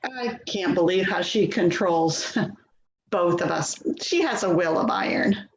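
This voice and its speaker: A woman's voice: lowish voice, unhurried. A woman acting an anxious, self-doubting grown daughter in a play reading, speaking in a placating, hesitant way. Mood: uneasy. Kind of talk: dramatic play reading, naturalistic dialogue. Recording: echoey, dull, noisy remote recording.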